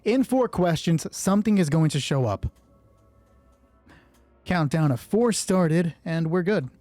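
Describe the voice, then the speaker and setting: A man hosting a solo roleplay game, narrating it dramatically and deliberately in short phrases. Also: on the high side, expressive, mellow, no room sound, faint music behind.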